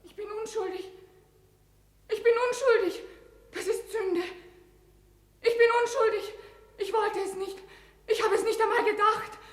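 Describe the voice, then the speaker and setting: A high, emotionally raw young woman in an old radio drama. She is a wrongly condemned prisoner, desperate and wounded, with bitterness in her anguish. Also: steady tone, a little slow, long pauses. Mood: desperate, crying out. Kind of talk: 1960s German radio drama acting.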